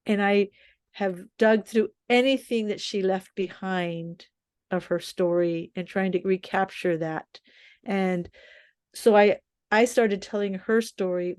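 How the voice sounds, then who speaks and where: An older woman from the US-Mexico border, bilingual in English and Spanish, talking about stories in a thoughtful, measured conversation with frequent restarts. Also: medium pitch, a little mellow, dry recording. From US-Mexico border region. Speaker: columnist. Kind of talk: reflective conversation.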